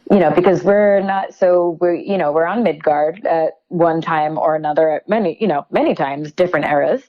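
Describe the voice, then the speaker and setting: A woman with a fairly low voice talks as a guest in a casual promotional interview. She is enthusiastic, often pausing to pick her words. The audio is slightly dull, like a muffled call.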